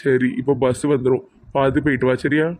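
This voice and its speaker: An affectionate young husband speaks tenderly to his wife, as a man voices him. The voice sits at a middle pitch and stays flat and even. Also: a little fast, natural voice. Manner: tender, plain and flat-toned.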